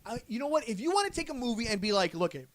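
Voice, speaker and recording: Podcast co-host, male, higher voice, emphatic casual debater, dry studio sound. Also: sing-song, very fast, no room sound. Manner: emphatic, argumentative, restarts often. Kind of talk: casual chat.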